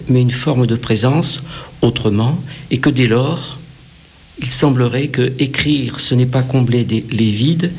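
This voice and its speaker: A male host conducting a literary interview, his voice gravelly and husky. He speaks in formal, carefully built sentences, broken by hesitations. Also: mid-range voice, darkish tone. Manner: measured, formal phrasing with hesitations.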